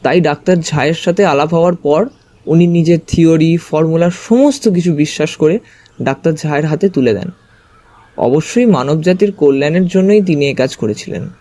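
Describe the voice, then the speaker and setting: A man's voice: fairly high pitch, animated, moderate pace, dark tone. A man narrating a story dramatically in the first person.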